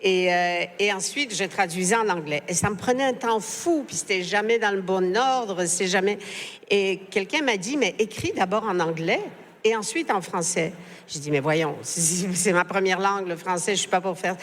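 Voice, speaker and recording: A woman's voice: medium pitch, normal speed. A woman journalist on a panel, speaking hesitantly with frequent fillers; French is not her first language. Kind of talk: live panel discussion. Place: book fair stage. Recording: reverberant hall.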